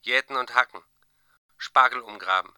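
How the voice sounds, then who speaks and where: A man's voice: medium pitch, moderate pace, pushed and bright, clear, resonant tone, dry recording, studio quality. An actor voicing a terse man who reads out his diary of daily chores in clipped fragments. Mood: flat, clipped. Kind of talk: dramatised reading of terse diary notes.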